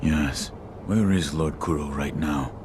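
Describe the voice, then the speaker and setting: A man's voice: rumbling low, slow pace, noisy background. An actor playing a stoic, guarded shinobi in a video game, terse and reserved in his delivery. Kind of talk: scripted video game dialogue.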